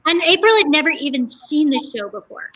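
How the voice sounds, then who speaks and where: A woman's voice: sits high, sing-song, a little fast, a bit bright, low-quality recording. A woman on a reunion call chatting casually and eagerly. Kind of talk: casual chat.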